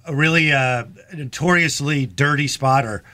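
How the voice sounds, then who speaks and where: A man co-hosting a casual podcast chat, easygoing and quick to laugh. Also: mid-range voice, sharp tone. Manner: easygoing, chuckling, agreeable. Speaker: podcast co-host.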